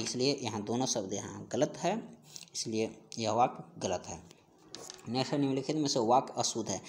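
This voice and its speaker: A man's voice: medium pitch, a little mellow, slight background noise. A man speaks as an exam-prep teacher. He poses questions and answers them himself. His delivery is explanatory and repetitive, restating key points for emphasis. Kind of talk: question-and-answer grammar lesson.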